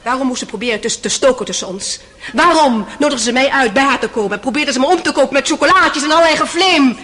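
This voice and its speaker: Radio drama: defiant young mistress testifying, sharp high voice, blunt and spirited. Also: female voice, fast talker. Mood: indignant, accusing. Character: defiant, indignant young Russian mistress on the witness stand. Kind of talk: radio play courtroom acting.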